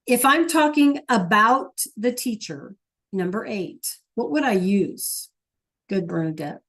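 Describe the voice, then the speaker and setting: A woman's voice: mid-range voice, animated, slow pace, a bit bright. A woman teaching an online Spanish class, patient and checking in with her students often.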